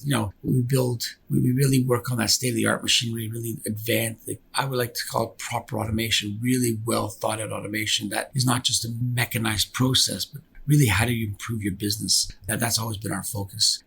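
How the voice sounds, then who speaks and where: A man's voice: lowish voice, gravelly, a bit bright, slight background noise, rough recording. A man speaking casually as a company director in an interview, often repeating words and restarting phrases as he explains. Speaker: business founder and company director being interviewed.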